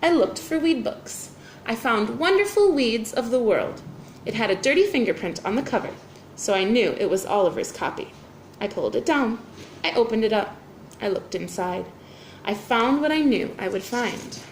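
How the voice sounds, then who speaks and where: A woman teacher reading a children's storybook aloud with expressive, deliberate phrasing. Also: on the high side. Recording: roomy echo, faint background noise.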